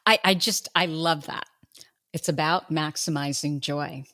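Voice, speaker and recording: A woman's voice: low voice, measured pace, bright, forceful tone. A woman hosting a casual podcast interview, enthusiastic and affirming, laughing along with her guest. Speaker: podcast host.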